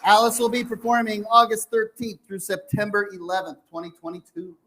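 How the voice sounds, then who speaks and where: A man's voice: sits high, level tone, fairly quick, bright, forceful tone, rough recording. A man presenting a theater season announcement to an audience in an enthusiastic style.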